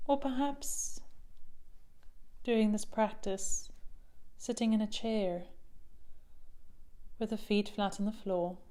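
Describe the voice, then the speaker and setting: A woman leading a guided body scan meditation as a mindfulness teacher, soothing and unhurried, with long pauses and soft phrase endings. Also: mid-range voice.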